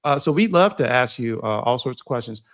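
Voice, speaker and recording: A man's voice: medium pitch, animated, a little fast, a bit bright. A man hosts a casual podcast, sounding worn out. He speaks in a friendly, easygoing way with frequent fillers and restarts. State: tired. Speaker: podcast co-host.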